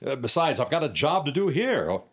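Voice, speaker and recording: A man's voice: fairly high pitch, very animated, a little fast, fairly bright tone. A man playing a blunt, impatient police inspector in a live mystery drama, conversational and a little baffled. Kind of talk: live radio-style mystery drama acting.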